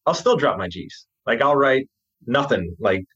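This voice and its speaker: Jersey-accented male guest, husky, casual and chatty, slightly muffled lo-fi audio. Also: mid-range voice, normal speed. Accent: New Jersey. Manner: relaxed, chatty, drops his g's. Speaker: copywriter, guest. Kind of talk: casual interview talk.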